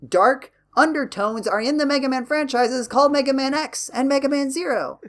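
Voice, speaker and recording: A man's voice: very high-pitched, normal speed, fairly bright tone. Wry male podcast host. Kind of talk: casual podcast discussion.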